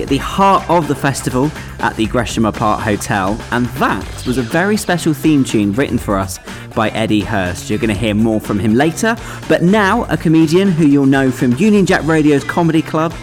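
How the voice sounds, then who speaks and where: A man works as a radio presenter, with an animated, swinging pitch. He moves between announcements and casual interviewing over a faint bed of music. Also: moderate pace.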